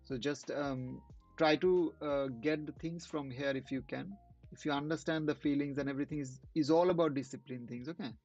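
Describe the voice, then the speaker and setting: Gravelly man talking over his trading screen. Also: a little high, steady tone, a little mellow, dull audio, faint music behind. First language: not English. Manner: halting, many restarts and fillers, second-language phrasing. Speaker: trading channel host. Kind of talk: casual live trading commentary.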